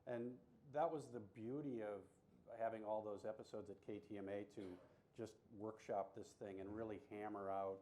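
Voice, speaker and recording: A man's voice: on the low side, fairly even intonation, moderate pace, warm tone, a little hiss or hum. Nasally, whiny-voiced man on a panel. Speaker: puppeteer and voice actor, panel guest. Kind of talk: panel discussion.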